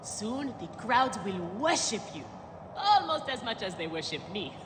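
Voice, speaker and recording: Cocky gladiator lady in a game, swaggering, really animated, echoey hall w noise behind. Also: on the high side, unhurried. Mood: friendly, proud. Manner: swaggering, exuberant. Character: brash, boastful female gladiator, hearty old comrade. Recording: reverberant hall, noisy ambience behind.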